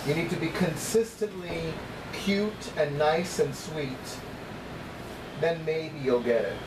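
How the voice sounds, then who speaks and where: A middle-aged man talking casually to camera as a vlogger, with deliberate, emphatic phrasing and frequent repetition. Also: on the high side, noisy background. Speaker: vlogger, psychic reader. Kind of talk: casual chatty monologue to camera. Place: small apartment room by a kitchen, indoors.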